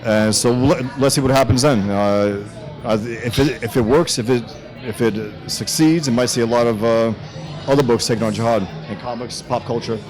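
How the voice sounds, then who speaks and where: A man from the Bronx with a New York accent, answering interview questions haltingly with many pauses and fillers. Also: mid-range voice, steady tone, over music. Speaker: guest cartoonist.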